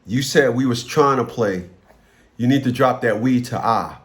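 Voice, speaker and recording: A man's voice: mid-range voice, normal speed. A man hosting a one-on-one coaching conversation, interjecting with instructive remarks and often repeating his opening words. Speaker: host, relationship and family-court coach.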